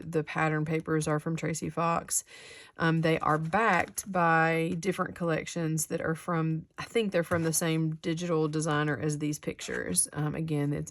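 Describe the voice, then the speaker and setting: A woman's voice: low voice, gravelly. A woman with a cold, a little sniffly, casually showing her handmade craft journals at her work table, rambling with many ums. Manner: relaxed, rambling, many ums and self-corrections. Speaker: craft channel host. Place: craft table indoors, hands-on demo.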